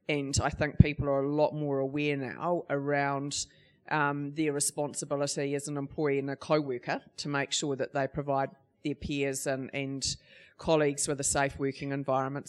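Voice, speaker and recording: Smooth-voiced, matter-of-fact woman panelist. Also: sits low. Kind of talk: discussion on a live panel.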